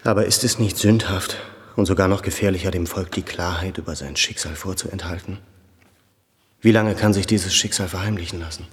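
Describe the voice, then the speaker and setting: Actor as earnest deep-voiced gentleman in reverberant hall. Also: steady tone, fairly quick, natural voice. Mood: anxious, urgent. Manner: formal, earnest, questioning. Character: worried, urgent educated Armenian gentleman pressing for action. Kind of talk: German radio drama acting.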